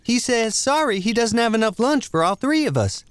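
A man voicing a jittery young male deputy with a high, reedy, earnest voice who relays what a stranger says. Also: very animated, normal speed, smooth, no room sound. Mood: explaining. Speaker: interpreter for the sheriff. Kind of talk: audio story character voice.

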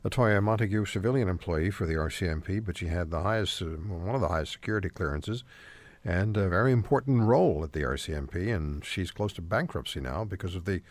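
Low, lively-voiced radio host. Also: male voice, a little fast, no room sound. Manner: firm, interrupts callers. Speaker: radio talk show host. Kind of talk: radio talk show. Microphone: studio microphone.

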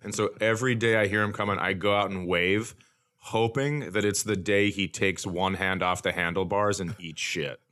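A middle-aged American man telling stories casually and comically, with an animated, blunt, ranting manner and some swearing. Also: fairly low pitch, normal speed. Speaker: podcast host, comedian. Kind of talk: casual comedic storytelling.

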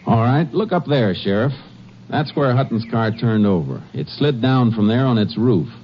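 An actor playing a calm, shrewd Texas Ranger in 1950s radio drama, speaking in terse, deliberate lines. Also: male voice, mid-range voice, lightly acted. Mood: intent. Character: Texas Ranger, a calm, shrewd, methodical lawman who narrates. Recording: dull, noisy old radio recording.

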